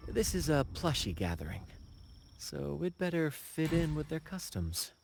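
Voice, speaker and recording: A man's voice: a little high, big pitch swings, a little slow, creaky, a little mellow, exaggerated voice. A man voicing a video game's flamboyant young troupe leader, playful and gallant, with theatrical, flowery phrasing. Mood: playful showmanship. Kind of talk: video game voice acting. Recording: game music behind the voice.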